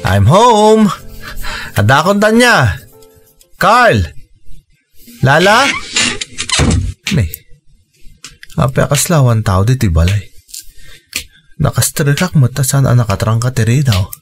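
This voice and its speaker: A man's voice: medium pitch, sing-song, takes it slowly, long pauses, over music. A man playing an affectionate, grateful father in an Ilocano radio drama, with a Filipino accent. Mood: calling, surprised. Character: affectionate, grateful father coming home to family.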